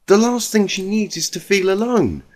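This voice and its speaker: A man's voice: sits high, animated, normal speed, a little mellow, pitched above the performer's own voice. A man voicing a refined, caring female cartoon unicorn with a genteel, affectionate manner. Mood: pleading.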